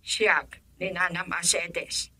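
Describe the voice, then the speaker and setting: A woman's voice: fairly low pitch, steady tone, slow, bright, forceful tone, full character voice. A woman playing an aggrieved, resolute mother in a radio drama, speaking in a firm, pleading way. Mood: grave.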